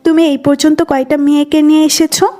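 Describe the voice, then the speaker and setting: A woman's voice: sits high, flat delivery, fast talker, dark tone, soft background music, natural voice. A woman playing a bossy, jealous and playful young woman in love in an audio drama. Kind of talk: dramatised audio story dialogue.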